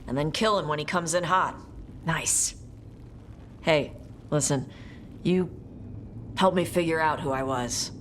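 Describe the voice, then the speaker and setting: A brash female dragon hunter from a fantasy game, warm-hearted and blunt. Her voice is markedly low, with ambience audible behind it. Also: unhurried, pauses often, a little hiss or hum. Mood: bold, eager. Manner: casual, blunt. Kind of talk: acted game dialogue. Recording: game audio with clear background ambience.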